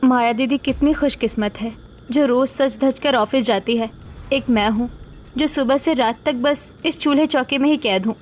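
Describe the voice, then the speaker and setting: A woman acting scornful mothers-in-law and hurt young daughters-in-law in an animated family drama, with emphatic melodramatic delivery. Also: high-pitched, moderate pace, a little hiss or hum, natural voice. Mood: wistful.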